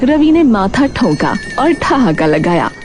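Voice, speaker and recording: A woman's voice: a little high, big pitch swings, unhurried. A woman narrating a scripted story as its storyteller. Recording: clear music bed behind voice. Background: music.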